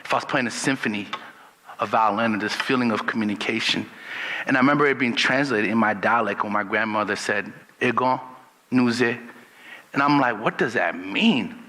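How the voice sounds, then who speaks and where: A man from Liberia telling a personal story in a relaxed, unhurried storytelling manner. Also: fairly low pitch, big-room sound. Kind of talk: personal testimony.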